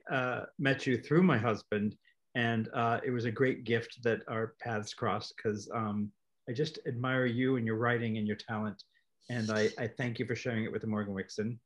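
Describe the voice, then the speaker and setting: A man hosting a conversational post-show discussion, speaking politely and haltingly with frequent pauses. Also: medium pitch, fairly even intonation. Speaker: theatre host.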